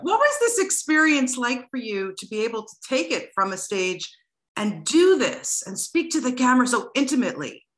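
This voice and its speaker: A woman's voice: a little high, big pitch swings, normal speed. A middle-aged woman interviewing guests over a video call from her living room, effusive and chatty, with many restarts.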